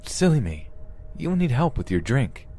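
A man's voice: mid-range voice, sing-song, unhurried, mellow, breathy edge, voice pushed up. A man voicing a genial, unnervingly comfortable male kidnapper who loves to cook, coaxing in a theatrically pleasant way in a narrated story. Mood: cheerful, apologetic.

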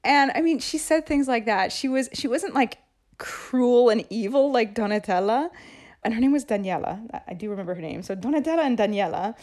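A woman's voice: a little high, expressive, a little fast, a little mellow. A woman podcast host telling her own stories in a casual, chatty way, often laughing as she talks. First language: English. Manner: chatty, laughing through anecdotes. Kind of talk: casual storytelling monologue.

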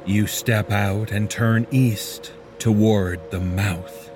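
A man reading a scripted second-person horror story in a measured, deliberate manner. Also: fairly low pitch, fairly even intonation, darkish tone, faint music behind.

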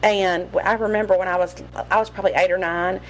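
A woman's voice: medium pitch, steady tone, fast talker, faint music behind, low-quality recording. A woman with a Southern American accent, co-hosting a podcast in casual chat, with chest congestion and a drawling, storytelling way of talking. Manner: drawling, storytelling, drops final g's. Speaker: podcast co-host.

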